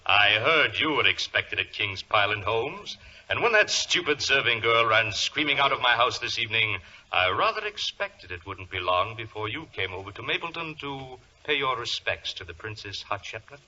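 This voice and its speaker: An actor playing a suave, gloating criminal mastermind with mock-courteous menace, in the theatrical style of 1940s radio drama. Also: male voice, medium pitch, very animated, moderate pace, bright, forceful tone. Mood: smug, lecturing. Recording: noisy, narrow old radio recording.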